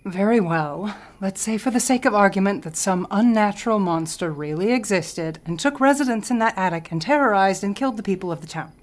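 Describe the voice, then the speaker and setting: A woman's voice: mid-range voice, expressive, brisk, few pauses, lightly acted. An actress playing a rational, skeptical intellectual woman, wry and articulate, in a radio horror drama. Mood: skeptical, curious.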